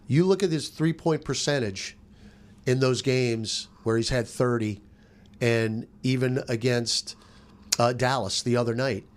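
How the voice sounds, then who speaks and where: A man's voice: medium pitch, unhurried. A man giving casual sports analysis as a panelist on a radio roundtable. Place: studio roundtable.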